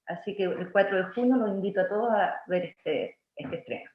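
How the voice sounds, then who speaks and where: A Chilean actress and playwright talking over a video call, halting, with many restarts. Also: female voice, mid-range voice. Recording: echoey room, muffled lo-fi sound.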